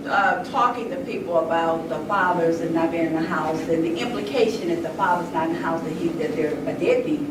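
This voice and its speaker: Older female discussion host, lowish voice, interjects conversationally; echoey room with clear background noise. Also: fairly quick, few pauses. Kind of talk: informal panel discussion. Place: large room at a live event. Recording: roomy, distant mic, noticeable background noise.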